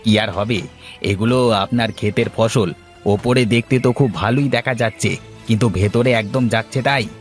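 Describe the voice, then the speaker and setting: A man voicing a brisk, businesslike city crop buyer in a cartoon story. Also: lowish voice, soft background music, lightly acted. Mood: angry, demanding.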